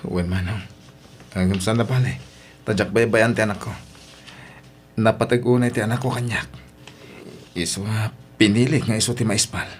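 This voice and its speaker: A man's voice: on the low side, fairly even intonation, moderate pace, long pauses, slight background noise, natural voice. A man with an Ilocano accent playing an emotional husband and father in a radio drama, with emphatic, plaintive delivery. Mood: grieving, resigned.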